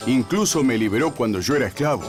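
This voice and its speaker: A man's voice: mid-range voice, animated, quick pace, natural voice. A loyal, brave soldier poet, voiced by a man for a children's cartoon. His mid-range voice moves animatedly as he explains things in a friendly way, with music behind him.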